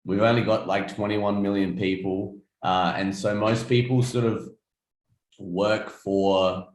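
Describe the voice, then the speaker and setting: A young Australian man talks in a low, easygoing voice, pausing often on ums and starting his sentences over. The sound is echoey, as if recorded in a bare room. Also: fairly even intonation, slight background noise. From South Australia. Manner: laid-back, many ums and restarts. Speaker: guest artist. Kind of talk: casual interview chat.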